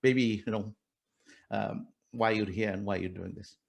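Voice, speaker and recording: A man's voice: lowish voice, fairly even intonation, unhurried, creaky, slight background noise. A man moderating a panel discussion, cordial and welcoming, speaking with many fillers and restarts.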